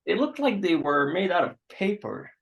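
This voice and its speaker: A man acting an awkward, apologetic host to a stranger in a staged play reading, halting and understated, on a dull recording. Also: high voice, big pitch swings, creaky, a little hiss or hum, exaggerated voice. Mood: sheepish.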